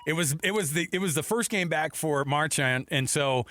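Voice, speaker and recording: A man's voice: a little high, normal speed, bright, forceful tone. A man hosting a sports radio show, talking casually in a rambling, anecdotal way.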